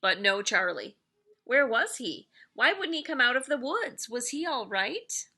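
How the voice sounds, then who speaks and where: Woman, middle-aged, school read-aloud narrator; bright tone with noticeable creak, expressive storytelling delivery. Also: on the high side, unhurried. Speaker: elementary school staff member reading aloud to pupils.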